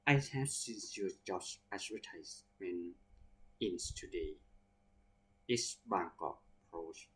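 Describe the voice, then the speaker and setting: Male English teacher, Thai accent, careful word-by-word delivery that spells out letters, very slow pace. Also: sits low, lively intonation, slightly rough, poor audio. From Thailand. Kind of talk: reading vocabulary examples aloud.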